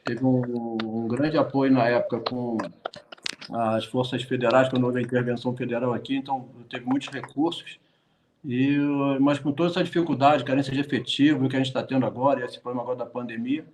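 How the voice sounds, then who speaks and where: A man in his fifties from Rio de Janeiro, a retired police colonel, talking in a rambling, halting way with many restarts. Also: mid-range voice, fairly even intonation, a little mellow, slight background noise. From Rio de Janeiro, Brazil. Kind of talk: political livestream talk.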